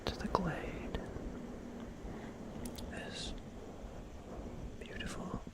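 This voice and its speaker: Whispered ASMR roleplay, breathy, unhurried pace, soothing, soft repetitions, atmospheric storytelling. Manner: slow, soothing, repeats words softly. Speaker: ASMR host.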